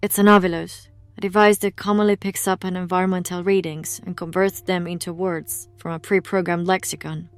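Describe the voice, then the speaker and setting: A woman's voice: on the low side, little pitch movement, a little fast, hardly any pauses, smooth, no echo, natural voice. A woman playing a grave, knowing investigator of the occult who explains things in formal words in an audio drama. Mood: explaining.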